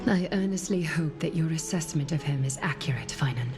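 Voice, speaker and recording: A woman voicing a solemn, composed leader in a video game, speaking formally and with measured poise. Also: deep voice, close to an everyday voice. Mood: solemn, grave. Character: solemn, composed female leader weighing omens and fate. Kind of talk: scripted video game dialogue. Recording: music under the voice.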